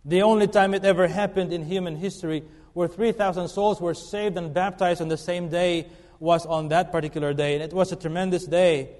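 Preacher in a reverberant church. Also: male voice, sits high, fairly even intonation, darkish tone. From Philippines. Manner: earnest, halting with fillers and restarts. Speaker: guest preacher. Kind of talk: sermon. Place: church sanctuary. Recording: long reverb of a church hall.

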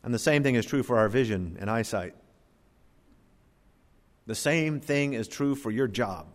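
A middle-aged male pastor delivers a sermon, his medium-pitched voice carrying a long reverb through the church sanctuary. He swings from loud, emphatic bursts down to quiet, low asides. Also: expressive, a little slow.

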